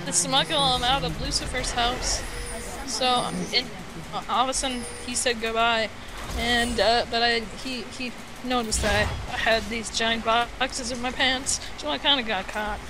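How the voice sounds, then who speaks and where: A playful, halting speaker from the United States telling a rambling tall tale as a guest on an internet voice call. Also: mid-range voice, steady tone. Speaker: guest storyteller on a gaming voice call. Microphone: Skype call. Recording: clearly noisy internet voice call.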